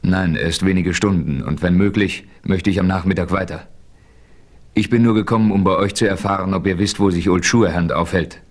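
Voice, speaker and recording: A man playing a steady, heroic frontiersman who also narrates, in a measured, composed radio-play delivery. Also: low-pitched. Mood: calm, resolute. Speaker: first-person narrator and hero. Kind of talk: 1970s German radio play acting.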